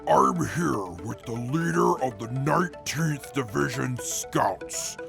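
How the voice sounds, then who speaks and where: A man playing a stiff, logical intellectual who speaks formally and deadpan in a post-apocalyptic audio drama. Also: mid-range voice, slow, gravelly, music in the background. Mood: formal, measured. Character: Atlas, stiff logical field interviewer, amoral intellectual.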